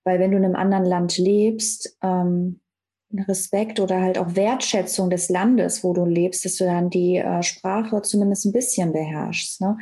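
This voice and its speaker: A woman's voice: on the low side, fairly even intonation, moderate pace, mellow. A woman hosting a casual interview, supportive and agreeing, asking follow-up questions. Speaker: podcast host.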